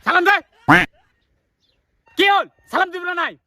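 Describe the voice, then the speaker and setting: A man playing a cranky, easily offended fasting elder in a comedy skit, hectoring with repeated questions in a northern Bangladeshi Rangpuri accent. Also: extremely high pitch, sharp tone, no room sound, heavily acted. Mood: scolding, indignant. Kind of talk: comedy skit acting in rural dialect.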